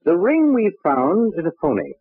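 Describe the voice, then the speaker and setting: An actor playing a brisk, wry, patient police inspector with a clipped, businesslike way of talking in an old radio detective drama. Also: male voice, high-pitched, wildly swooping pitch, husky, dry recording, exaggerated voice. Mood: impatient, commanding. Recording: dry, tinny old radio recording.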